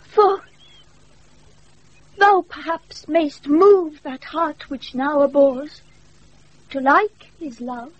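An actress playing a proud young countess in love, in a declamatory, ardent classical Shakespearean radio style. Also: female voice, sits high, drawn-out words, pauses often. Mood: ardent, pleading. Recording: muffled, noisy off-air radio recording.

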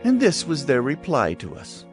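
A man's voice: on the high side, wildly swooping pitch, normal speed. An actor playing an officious male provincial governor, formal and declamatory, in a dramatized scripture reading. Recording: music bed behind the voice.

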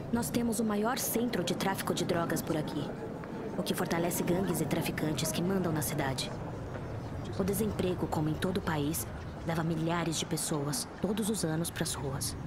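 Blunt, cool young Brazilian woman hacker, played by an actress, matter-of-fact audio drama delivery, slightly low. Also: heavy background noise, natural voice. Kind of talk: narration and audio drama acting.

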